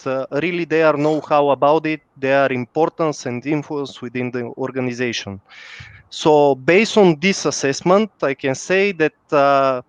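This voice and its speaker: A man from Bulgaria with a Bulgarian accent, answering as a panel guest in halting, non-native English. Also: a little high, clear, resonant tone, no room sound, lo-fi sound. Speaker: panel guest, head of PMO at a bank. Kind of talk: interview answer on a work topic.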